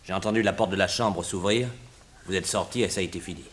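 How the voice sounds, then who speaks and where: A man's voice: deep voice, fast, noisy background, lightly acted. An actor playing a blunt, complaining male hotel guest making accusations in a French detective radio drama. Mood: accusing.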